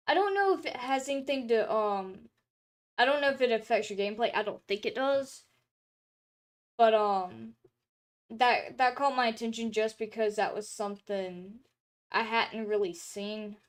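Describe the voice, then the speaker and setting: A young woman streamer in casual chat, rambling with many fillers and restarts, her throat a little dry and hoarse, talking from a room at home. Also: a little high. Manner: rambling, chatty, lots of filler words and restarts. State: throat drying, slightly hoarse.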